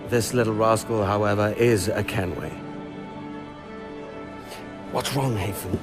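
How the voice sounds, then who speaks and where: A man's voice: fairly low pitch, measured pace, lightly acted. An actor playing a wry, affectionate seafaring father in a video game. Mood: playful, tender. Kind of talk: video game cutscene acting. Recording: loud music over the voice.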